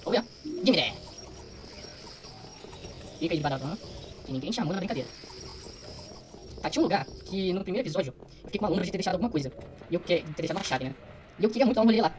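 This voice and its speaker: A man's voice: high voice, slight background noise. A young Brazilian man giving casual, slangy let's play commentary, muttering to himself as he goes. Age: young adult. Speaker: gaming streamer.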